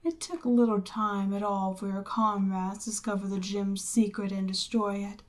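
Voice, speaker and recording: A woman voicing a seductive, domineering Demon Queen in an ASMR roleplay, breathy and often near a whisper, with a smug, coaxing, commanding manner. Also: medium pitch, flat delivery, normal speed, darkish tone, natural voice. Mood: smug, amused.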